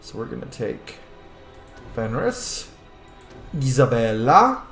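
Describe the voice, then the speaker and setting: A man streams a video game with casual, rambling commentary. His chatty talk sits at an average male pitch. The room adds noticeable echo, and music plays clearly behind him. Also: very animated, slow pace, a bit bright.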